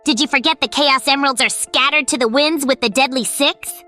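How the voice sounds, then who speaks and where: Lady doing a smart little cartoon fox kid, boyish, high sharp voice, argues earnestly. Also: fairly quick, faint music behind. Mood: exasperated. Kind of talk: cartoon dialogue.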